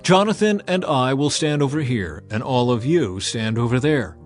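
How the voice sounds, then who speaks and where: A stern king in a dramatized scripture reading, forceful and commanding, as a male narrator puts him on. He sits at an ordinary middle pitch with faint music behind. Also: lively intonation, moderate pace, close to an everyday voice.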